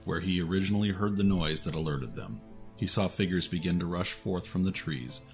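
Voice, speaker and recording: A man's voice: low voice, a little fast, soft background music. A man reading scripted narration for a fantasy action audio drama as its narrator.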